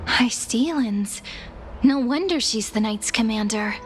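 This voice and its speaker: Woman acting a high-voiced, slow, wry young heroine. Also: background noise, close to an everyday voice. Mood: curious, wry. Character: thoughtful, playful young woman companion on a world-saving journey. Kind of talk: video game voice acting.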